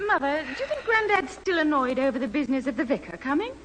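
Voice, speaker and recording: A woman's voice: high-pitched, very animated, fast, a bit bright. A woman playing a tart, sarcastic grown-up sister in a radio sitcom. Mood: puzzled, concerned. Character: sarcastic grown-up daughter, bickering older sister. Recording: reverberant, noisy old radio recording with audience.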